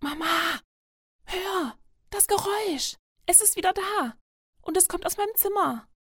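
A woman's voice: very high voice, expressive, a little slow, a bit bright, dry recording, studio quality, pitched above the performer's own voice, heavily acted. A woman voicing an anxious young boy in a storybook, speaking urgently and pleadingly. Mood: scared, urgent. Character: anxious young boy confiding in his mother.

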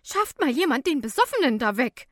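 Woman acting a shrill, indignant female passenger. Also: sing-song, a little fast, fairly bright tone, dry recording, clean studio sound. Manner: scolding, haughty.

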